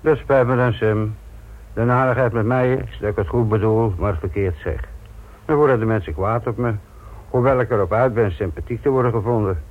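Actor as sly, needling police inspector. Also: male voice, lowish voice, brisk, narrow, tinny audio, lightly acted. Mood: mock-friendly probing. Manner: feigned friendliness, sardonic baiting. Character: sly, needling male police inspector, mock-apologetic, gruff. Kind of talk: radio drama acting.

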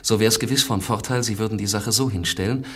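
An actor playing an idealistic, confident young poet and army doctor in a radio drama, speaking earnestly and with spirit. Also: male voice, on the low side, steady tone, fast talker, slightly rough. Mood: confident, scheming.

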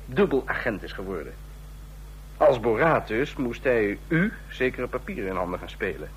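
An actor playing a suave, scheming ambassador in a Dutch radio drama, speaking formally and deliberately. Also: male voice, mid-range voice, sing-song, slight background noise, close to an everyday voice, rough recording. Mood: conspiratorial. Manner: formal, deliberate, declamatory when toasting. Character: suave, scheming ambassador of a small authoritarian state.

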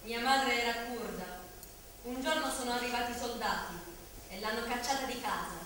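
A woman's voice: a little high, normal speed, pushed and bright. A woman playing a grave witness of exile, reciting solemnly in a stage drama. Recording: distant, reverberant hall, faint noise.

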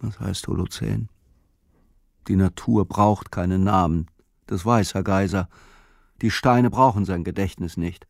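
A man narrating a literary radio play in a measured, sober, reflective way. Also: deep voice, vocal fry, no echo, clean studio sound.

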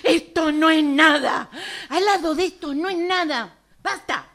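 A woman's voice: high voice, unhurried, a bit bright. A woman acting an emotional, dismissive partner in a radio drama exercise. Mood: agitated, dismissive.

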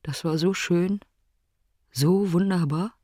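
An actor playing a brooding, philosophical ailing man in a radio play monologue, speaking in deliberate, emphatic short phrases. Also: mid-range voice, warm tone, no echo, clean studio sound. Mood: haunted, reflective.

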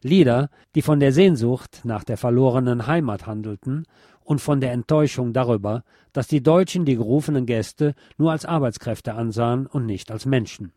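A man reading scripted documentary narration in a measured, essayistic way. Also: medium pitch, warm tone, no room sound, studio quality.

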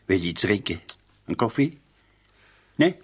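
An actor playing a dogged, sarcastic police inspector in a radio drama, husky and blustering. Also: male voice, on the low side, sing-song, unhurried, heavily acted. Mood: sardonic pressure. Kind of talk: radio drama acting in dialogue.

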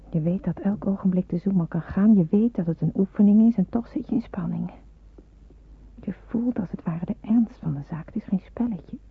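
A woman's voice: on the low side, breathy edge. An actress playing an earnest, anxious young woman in wartime hiding, musing hesitantly in an old radio play. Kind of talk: Dutch radio play acting with hushed inner monologue. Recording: muffled, narrow-band old radio recording.

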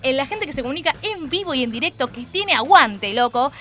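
A woman's voice: high voice, lively intonation, fairly quick, a bit bright. A woman with a Buenos Aires accent hosting a radio show in casual, chatty style. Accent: Argentine, Buenos Aires. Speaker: radio host. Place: radio studio. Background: music.

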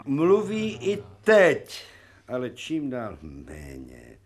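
Commanding medical professor, dryly ironic, very lively intonation, theatrical radio drama delivery. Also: male voice, medium pitch. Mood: dry, authoritative. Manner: lecturing, declamatory. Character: authoritative, ironic professor of medicine, a worldly scientist.

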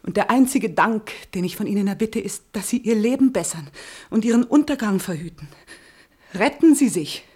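A woman playing a forsaken, pious noblewoman in a 1950s radio play, solemn and fervent with a breathy delivery. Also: medium pitch, expressive, normal speed, a little mellow. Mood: fervent, imploring. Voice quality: breathy, often near whisper.

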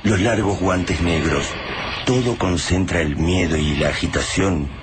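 An actor as the solemn, dramatic storyteller of a bleak apocalyptic radio drama, reading literary scripted narration. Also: male voice, sits low, a little slow, heavy background noise. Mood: somber.

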